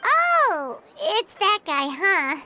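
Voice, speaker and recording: A woman voicing a small, excitable, cheeky fairy companion girl in a video game, with a squeaky childlike voice and perky delivery. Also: very slow, heavily acted. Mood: bubbly, chatty. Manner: perky, exclamatory, speaks in third person. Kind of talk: video game character dialogue. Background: music.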